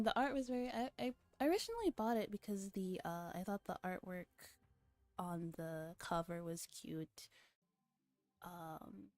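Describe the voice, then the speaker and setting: Casting: young female streamer, soft mellow tone, unhurried rambling, giggly and teasing, dry close sound with music behind. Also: medium pitch, no echo. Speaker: livestreamer. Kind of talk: casual livestream chat with viewers.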